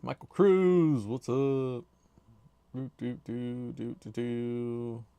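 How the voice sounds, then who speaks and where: A man hosting a casual livestream, chatty and laid-back, with a loud voice, talking into a desk microphone at his home streaming setup. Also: mid-range voice, fairly even intonation, very slow, smooth, no room sound. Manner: chatty, laid-back, reads chat names aloud. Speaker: livestream host.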